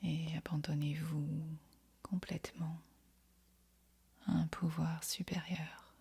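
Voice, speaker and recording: A woman leads a guided meditation in a very breathy, husky near-whisper. Her voice is low and stays on an even, gentle line. She gives each instruction softly, then leaves room before the next. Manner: soft, soothing, unhurried instruction with long pauses. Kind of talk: guided yoga nidra meditation.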